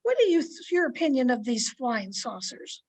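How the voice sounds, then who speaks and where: A woman playing a sensible, chipper small-town wife in old-time radio comedy, polite and gently chiding. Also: sits high, sing-song, normal speed, heavily acted. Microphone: video call microphone.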